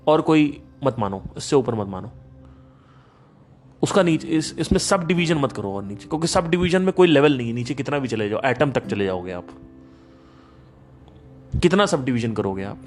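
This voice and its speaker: A man of middle pitch talks through a podcast on spiritual ideas, emphatic and animated as he tells stories. Faint guitar music sits under his voice. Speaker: podcast host. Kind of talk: casual spiritual talk.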